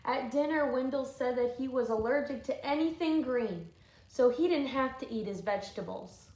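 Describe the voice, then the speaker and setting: A woman's voice: sits high, normal speed, darkish tone. A woman teacher reading a storybook aloud to children, with thoughtful questions and asides. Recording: echoey room with faint noise.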